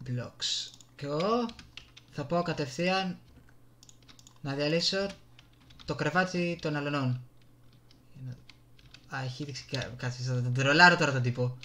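A man's voice: fairly high pitch, long pauses, sharp tone. A man with a Greek accent giving casual, slangy gameplay commentary with reactive exclamations. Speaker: gamer. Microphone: desktop USB microphone. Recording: noisy desktop mic with keyboard clicks. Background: keyboard and mouse clicking.

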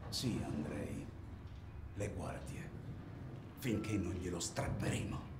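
A man playing a cold, commanding paramilitary leader in a military game, deliberate, controlled and menacing. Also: low-pitched, echoey room, noisy background. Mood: quietly menacing. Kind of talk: cinematic military game acting.

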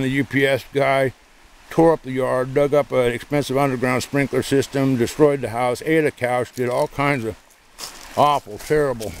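Man, mid pitch, casual rambling outdoor vlog talk, handheld camera, faint background noise. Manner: talks to his dog between asides, chatty and meandering. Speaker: service dog trainer and vlogger. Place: outdoors by a creek.

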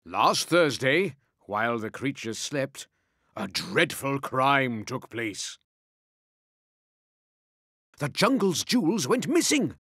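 A man's voice: mid-range voice, sing-song, slow, long pauses, bright, forceful tone, slightly rough, dry recording, studio quality. A man narrating a rhyming story in a dramatic, scripted storyteller's delivery.

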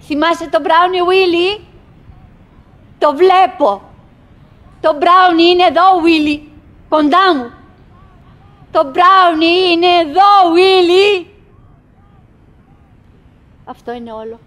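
An actress playing a wistful middle-aged woman who chatters bravely to her husband in halting, theatrical radio drama monologue. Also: very high voice, fairly bright tone. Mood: insistent, calling. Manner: halting, fragmented, dramatic, swinging between murmurs and calls.

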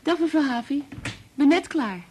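An actress playing a curious, deferential junior assistant in a Dutch radio drama. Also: female voice, high-pitched, expressive, normal speed, darkish tone, slight background noise.

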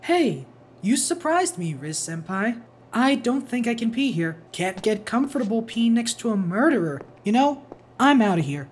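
A woman's voice: a little high, big pitch swings, moderate pace, darkish tone, slightly rough. A woman playing a cocky young male sheep student, flippant and teasing, in a manga dub. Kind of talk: manga voice-over acting. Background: music.

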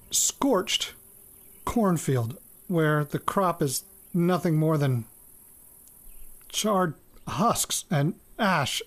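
A man's voice: high-pitched, heavily acted. An actor playing a hesitant farm-raised man in an audio drama, murmuring and thinking aloud as if into a recorder.

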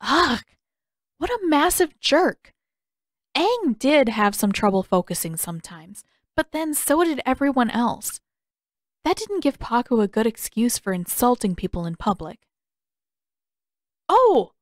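A woman's voice: a little high, sing-song, a little slow, no room sound, clean studio sound. A woman reading fan fiction aloud as scripted audiobook narration. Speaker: podfic narrator.

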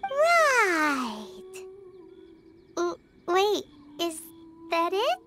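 A woman's voice: very high voice, wildly swooping pitch, very slow, heavy background noise, full character voice. A woman voicing a bubbly, boastful, childlike fairy companion in a video game, with exclamatory, exaggerated childish talk. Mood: perky, curious.

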